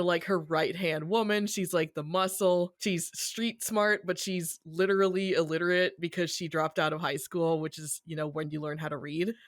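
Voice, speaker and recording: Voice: mid-range voice, fairly bright tone, no echo, studio quality. A podcast co-host giving a casual, colloquial story recap peppered with swearing.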